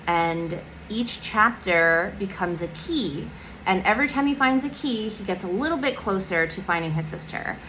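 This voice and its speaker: A woman author giving an upbeat, energetic pitch for her adventure novel. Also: slight background noise, poor audio. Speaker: children's book author. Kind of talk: promoting her book to the camera.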